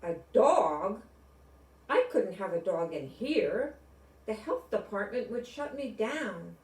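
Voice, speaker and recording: A blunt, busy butcher with a kind streak, from a children's storybook, voiced by an older woman. He speaks slowly and emphatically. The room rings with echo and the mic is a little way off. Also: medium pitch, very animated, a little mellow. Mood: gruff refusal. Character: busy, gruff but kindly male butcher. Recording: echoey room, distant microphone.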